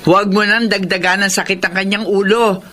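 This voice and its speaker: A woman's voice: mid-range voice, steady tone, fairly quick, close to an everyday voice. A woman playing a doting middle-aged Filipino mother, eager for grandchildren, in a radio drama. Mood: coaxing, advising.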